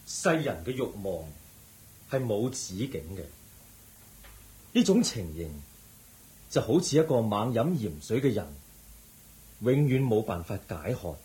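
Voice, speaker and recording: A serene, enlightened teacher giving a solemn sermon in a Cantonese radio drama from Hong Kong. His voice is fairly low and warm. He speaks slowly and gravely, with expressive rise and fall. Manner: measured, grave, deliberate.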